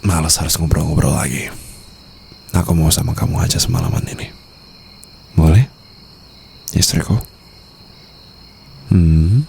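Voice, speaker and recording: A man's voice: a bass voice, measured pace, creaky, long pauses, a little mellow, slight background noise, exaggerated voice. A man with an Indonesian accent playing a doting, possessive mafia boss husband in an intimate, teasing ASMR roleplay. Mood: possessive, coaxing. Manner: teasing, coaxing, murmured endearments with English phrases mixed in.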